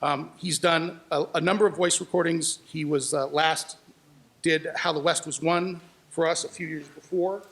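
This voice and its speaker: A man gives a public presentation as a sound editor, sharing anecdotes. His voice sits high for a man and has a lot of fry in it. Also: steady tone, normal speed, a bit bright.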